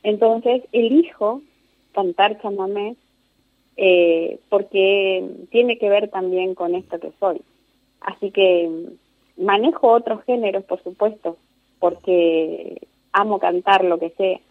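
A woman of about fifty with an Argentine Spanish accent speaks in a reflective, conversational way, as a guest explaining herself. Her voice sits at an ordinary pitch. It comes through a thin, phone-like connection with very little room sound. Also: slow pace, no room sound. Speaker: interview guest, singer and singing teacher. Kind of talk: conversational interview answers. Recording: narrow tinny phone-like line.

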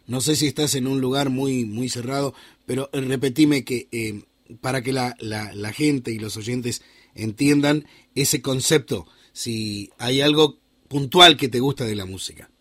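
A fifty-year-old man with an Argentine Spanish accent, casually interviewing and haltingly repeating words as he forms his questions. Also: medium pitch, fairly bright tone, no room sound. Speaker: radio interviewer, host.

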